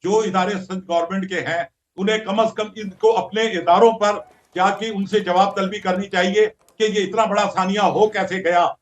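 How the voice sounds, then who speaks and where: A man's voice: high voice, steady tone, moderate pace. A man, a traders' association leader speaking as a guest on a news show, aggrieved, defensive and accusing.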